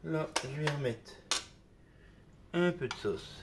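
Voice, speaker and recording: A man's voice: fairly high pitch, slow, vocal fry, very noisy. Chatty man with a slight cold. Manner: chatty, filler-heavy with "hop" and "hein". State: a bit of a cold. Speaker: cooking video host. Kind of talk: casual cooking demonstration. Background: kitchen clatter of dishes and utensils.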